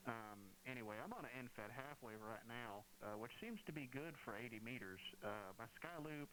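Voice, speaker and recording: A man's voice: mid-range voice, moderate pace, a bit bright. A man chatting casually over ham radio, explaining things in a matter-of-fact, somewhat hesitant way. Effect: heard over shortwave ham radio. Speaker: amateur radio operator. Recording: hissy, noisy ham radio transmission.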